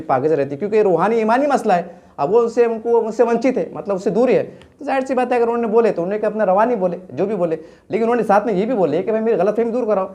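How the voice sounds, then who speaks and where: This is a man with a distinctly high voice and a Deccani Urdu-Hindi accent of Maharashtra. He talks as a local news commentator, unscripted and impassioned. He builds his argument with rhetorical questions and repeats key phrases for emphasis. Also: warm tone. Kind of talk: impassioned off-the-cuff political commentary.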